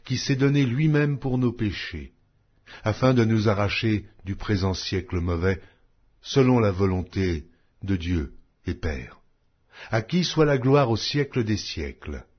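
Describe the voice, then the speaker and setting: A man reading scripture aloud in a measured, solemn way. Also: lively intonation, slightly rough, highs cut off. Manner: measured, solemn reading with wide pitch swings. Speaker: Bible reader.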